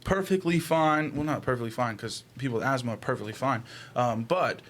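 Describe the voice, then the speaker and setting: A young man with an average-pitched voice talks casually in a group podcast. He uses slang and swears, qualifying his opinions as he goes. There is some faint noise in the recording. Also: fairly quick. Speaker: podcast co-host. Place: small indoor room, group around table. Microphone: desk podcast microphone. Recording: noisy small-room podcast recording.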